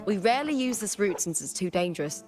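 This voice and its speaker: A woman's voice: mid-range voice, animated, fast talker, close to an everyday voice. A woman playing a confident, reflective young female gladiator in a video game, delivering scripted dialogue. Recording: game audio under loud music.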